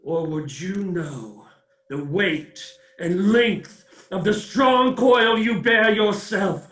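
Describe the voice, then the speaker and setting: A doom-laden male phantom for a theatrical radio drama. The voice is high and wailing, with slow, declamatory phrasing. It sounds far off, swathed in heavy echo. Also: fairly bright tone. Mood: grave, mournful. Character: anguished ghost of a dead businessman, mournful and doom-laden. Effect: cavernous echo on the voice. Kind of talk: theatrical radio play acting. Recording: echoing, distant-sounding voice.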